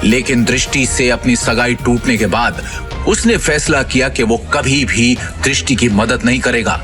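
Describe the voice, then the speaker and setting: A man narrating a scripted audio drama as a dramatic storyteller. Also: medium pitch.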